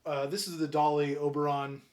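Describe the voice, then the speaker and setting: A man's voice: fairly high pitch, little pitch movement, fairly quick, darkish tone. A man chatting casually as a co-host, agreeable and inquisitive, recorded at a distance from the microphone. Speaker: audio shop owner, co-host. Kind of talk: casual conversational chat.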